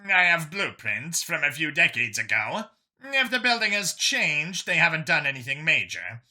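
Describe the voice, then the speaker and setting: A man voicing a businesslike alien police handler, brisk and procedural, in a sci-fi story. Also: on the high side, very animated, pushed and bright, exaggerated voice.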